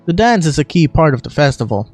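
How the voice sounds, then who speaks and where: A woman acts a calm, dutiful young adventurer from a fantasy game. The voice is low-pitched and expressive, earnest in delivery, over faint music. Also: quick pace, no echo. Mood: concerned. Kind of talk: scripted game dialogue.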